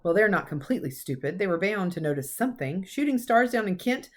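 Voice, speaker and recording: A woman's voice: mid-range voice, animated, fast, lightly acted. Lady doing a strict older witch teacher in a kids book, indignant, stresses her words, bit anxious. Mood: irritable, impatient. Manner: emphatic, indignant.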